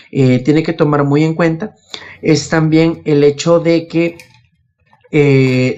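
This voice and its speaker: A man's voice: a little high, darkish tone. A man with a Latin American Spanish accent explaining things in a casual podcast, posing questions and answering them himself, on a lo-fi recording. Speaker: podcast host. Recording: dull, lo-fi voice connection.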